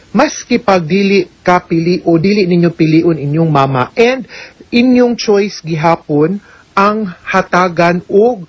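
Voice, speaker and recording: A middle-aged woman lawyer speaks in a husky, slightly breathy voice, close to the mic in a dry, deadened room. She explains each point patiently and methodically. She keeps checking in with the listener as she answers a letter on air. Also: fairly low pitch, no echo. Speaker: lawyer giving legal advice on radio. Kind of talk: radio legal advice, answering a listener's letter.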